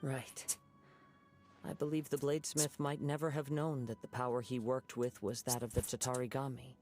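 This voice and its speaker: A young man voicing a gentle wandering samurai in a video game, with a calm, composed and reflective way of talking. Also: medium pitch, moderate pace, natural voice. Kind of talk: game voice acting. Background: game music.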